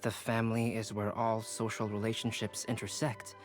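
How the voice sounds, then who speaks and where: A man playing a calm, analytical young alchemist in a game cutscene, polite and formal. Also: on the low side, normal speed, over music, lightly acted. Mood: curious, composed. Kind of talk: video game cutscene acting.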